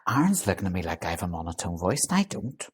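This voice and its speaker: A man's voice: very animated, fast talker, slightly rough. A Scottish man co-hosting a podcast, chatting casually in a playful, theatrical way. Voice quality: baritone singing voice. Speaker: podcast co-host. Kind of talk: casual chat.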